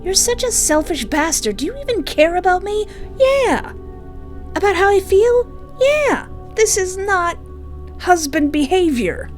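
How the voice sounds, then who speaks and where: A woman's voice: extremely high pitch, sing-song, unhurried, faint music behind. Theatrical, sarcastic woman gamer. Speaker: gamer playing and voicing a visual novel. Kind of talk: playful reactions and dramatic reading aloud.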